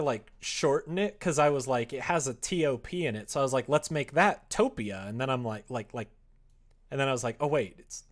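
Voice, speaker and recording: A man chatting casually on a live stream, rambling and self-amused as he thinks aloud. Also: medium pitch. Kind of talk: casual chat on a live creative-writing stream.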